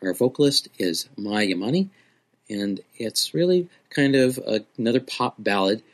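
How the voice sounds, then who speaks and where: A man's voice: fairly low pitch, expressive, a little slow. A man hosting a podcast music segment, explaining things in an informal presenter's way.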